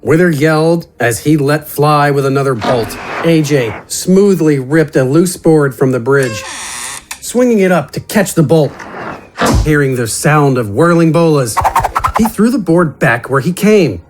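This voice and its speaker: A man's voice: a little high, lively intonation, a little slow, background noise. A man reading scripted fantasy adventure narration in a dramatic storytelling style. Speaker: narrator and voice actor. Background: music.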